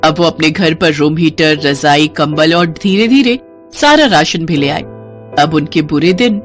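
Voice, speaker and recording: A woman's voice: low-pitched, measured pace, faint music behind. A woman storyteller reading scripted moral-story narration.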